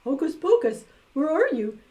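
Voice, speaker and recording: Woman doing a loud, greedy, bumbling magician. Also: high voice, very animated, moderate pace, pitched above the performer's own voice. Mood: showy. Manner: loud, theatrical, declaiming. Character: greedy, bumbling male stage magician, showy villain. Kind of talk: children's storybook character voice.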